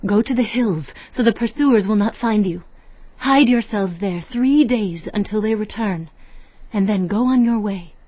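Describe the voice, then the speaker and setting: A woman's voice: medium pitch, measured pace, dry recording, natural voice. An actress playing a fearful but resolute woman of ancient Jericho in a dramatized audio Bible, earnest and dramatic. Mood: hushed instruction. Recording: slightly dull audio over music bed. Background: faint music.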